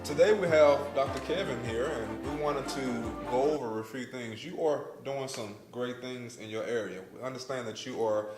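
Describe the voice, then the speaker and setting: A man hosting a casual church interview, enthusiastic and quick with "wow" and "awesome". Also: mid-range voice, reverberant, over loud music. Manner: enthusiastic, says wow often. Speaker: host.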